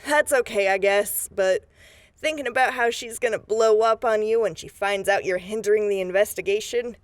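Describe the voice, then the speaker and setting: A woman's voice: mid-range voice, moderate pace, no room sound, natural voice. Woman playing a folksy, confident deputy, close and dry. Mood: persuasive, teasing. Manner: casual, needling, folksy. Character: confident, folksy female deputy, teasing but firm. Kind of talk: post-apocalyptic audio drama acting.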